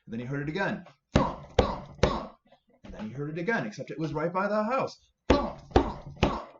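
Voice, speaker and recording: A man's voice: on the high side, big pitch swings, normal speed. Man telling a children's story, roomy recording. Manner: repetitive, playful emphasis with sound words. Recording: roomy, slightly dull recording with faint noise.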